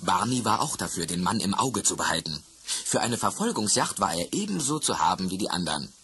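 A man narrating a children's radio play in a measured storytelling manner. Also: deep voice, big pitch swings, pushed and bright, heavily acted.

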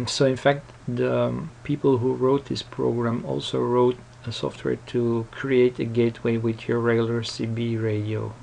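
Slow, gravelly man giving a casual tutorial. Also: mid-range voice, little pitch movement, warm tone, slight background noise. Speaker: tutorial presenter. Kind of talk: casual unscripted software tutorial. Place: voice-over recorded at a computer.

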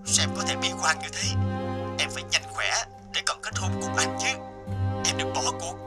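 A devoted young groom in a cartoon, voiced by a man, earnest and anxious. His voice is a little high and pleading, over loud background music. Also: moderate pace, lightly acted. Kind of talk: cartoon dubbing.

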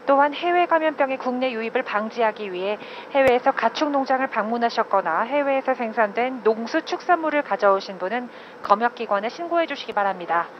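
A woman's voice: on the high side, animated, brisk, few pauses. A woman working as a flight attendant, reading a formal scripted announcement over the cabin PA. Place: aircraft public address system. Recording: muffled cabin PA with noise. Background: cabin noise.

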